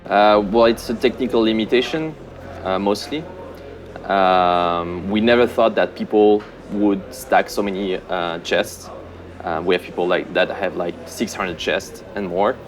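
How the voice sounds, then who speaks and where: Man, low voice, relaxed, hesitant, many fillers, dry humor. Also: a little slow. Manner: relaxed, many ums and uhs, self-deprecating humor. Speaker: senior game producer. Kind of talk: casual interview answers.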